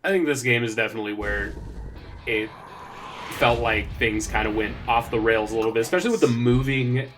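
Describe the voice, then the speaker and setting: A man's voice: medium pitch, a little fast, fairly bright tone. Matter-of-fact male game commentator. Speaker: let's play commentator. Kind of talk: casual gameplay commentary.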